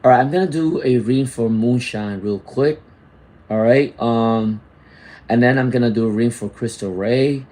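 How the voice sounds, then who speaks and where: A man's voice: medium pitch, moderate pace, warm tone. A man giving live tarot readings, reading out viewer comments and often checking in with "all right?" and "okay?". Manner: reads out viewer comments and greets chatters. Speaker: tarot reader.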